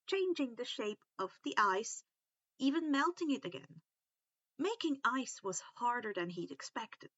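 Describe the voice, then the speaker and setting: A woman reading a story aloud as an animated narrator, in storybook style. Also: fairly high pitch, dry recording, highs cut off. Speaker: fan fiction narrator.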